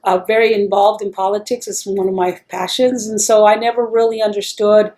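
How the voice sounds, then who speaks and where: A woman's voice: mid-range voice, flat delivery, a little fast, darkish tone. A woman from El Paso, Texas, talking in an oral history interview in a chatty, rambling way, full of restarts.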